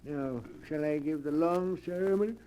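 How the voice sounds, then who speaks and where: An actor playing a genial, folksy, obliging judge, in 1940s radio drama style. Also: male voice, a little high, normal speed, warm tone, lightly acted. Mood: genial, businesslike. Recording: faintly noisy old radio recording.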